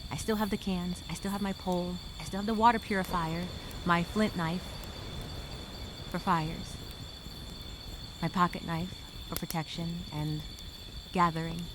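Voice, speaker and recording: A woman performing a stranded castaway speaking a journal aloud. She is wry and weary, with a sarcastic streak of hope. Crickets and insects chirp clearly behind her. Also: on the low side, steady tone, a little slow, pauses often, background noise, natural voice. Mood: weary musing. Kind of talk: dramatic first-person journal monologue.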